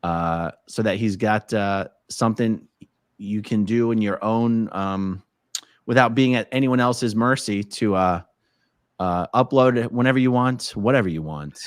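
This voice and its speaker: A man's voice: on the low side, unhurried, no room sound, clean studio sound. A man hosting a casual live-stream interview, easygoing, reading chat comments aloud. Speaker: host.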